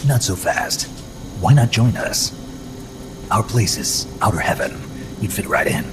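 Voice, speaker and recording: An actor playing a gruff, terse veteran soldier in a video game, with a breathy gravel rasp and clipped delivery. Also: male voice, fairly low pitch, lively intonation, a little slow, noisy background. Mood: wry, inviting. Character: gruff, terse male soldier, cut-loose Cold War veteran. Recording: loud music behind. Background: music.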